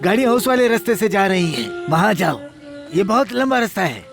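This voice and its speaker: High male voice, very varied pitch, concerned passer-by, drama dub. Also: big pitch swings, a little fast, gravelly, over music, heavily acted. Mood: concerned, advising. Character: concerned male passer-by advising a young traveller.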